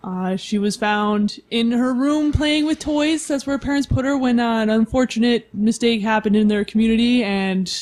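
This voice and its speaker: A woman's voice: a little high, normal speed, few pauses, a little mellow. A woman casually describing her character as a player on a tabletop game podcast, wry and playful.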